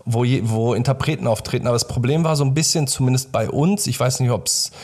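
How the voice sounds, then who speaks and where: A man's voice: medium pitch, fast talker, darkish tone. A young man from Germany chatting casually as a podcast co-host, in a colloquial, slangy and enthusiastic way. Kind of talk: casual chat.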